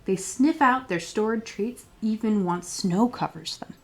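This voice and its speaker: A woman's voice: mid-range voice, a little slow. A woman reading a children's picture book aloud as a storytime librarian, expressively and with questions put to young listeners.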